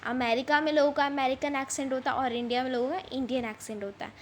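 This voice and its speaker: High-voiced woman explaining a story in Hindi. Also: steady tone, slight background noise. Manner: reads English text slowly, then explains it in Hindi at length; repeats and rephrases explanations. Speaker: teacher. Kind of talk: English lesson explained in Hindi.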